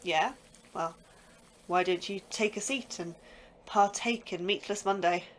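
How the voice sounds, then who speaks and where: A woman's voice: medium pitch, unhurried, a little hiss or hum, close to an everyday voice, poor audio. A woman playing a curious, chatty young science officer who likes to tease her colleagues in an audio drama.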